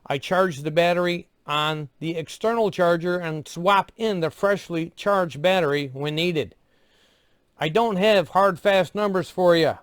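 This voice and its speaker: A man with a notably high voice narrates a product review, recorded very dry with no room sound. His delivery is scripted yet conversational. He keeps to plain, clipped phrases and slips in dry asides. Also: no room sound.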